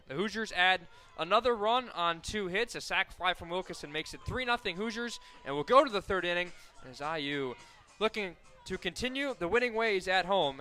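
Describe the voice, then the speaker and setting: A young man calling softball play-by-play for college radio, correcting himself often and surging loud on big plays. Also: high voice, clean tone. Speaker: play-by-play announcer.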